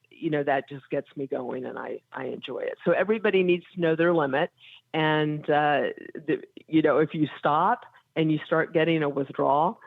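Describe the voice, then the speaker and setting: A woman's voice: low voice, gravelly, no room sound. A woman psychotherapist guest explaining things in a friendly way over the phone, with many fillers. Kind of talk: phone-in interview. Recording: tinny phone line.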